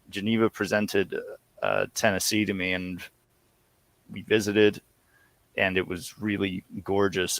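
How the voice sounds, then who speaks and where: A man from Connecticut chats as a podcast guest in a fairly low voice. His delivery is casual and rambling, often correcting itself, and the sound is very dry. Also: fairly bright tone, no room sound. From United States, Connecticut. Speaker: podcast guest, audio comedy co-creator. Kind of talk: casual chat.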